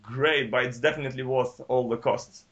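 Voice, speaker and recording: A man conducting an interview, keeping his questions brief and encouraging. His voice is mid-pitched, and the recording sounds dull and lo-fi, with a slightly distant quality. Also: fairly even intonation, normal speed, fairly bright tone.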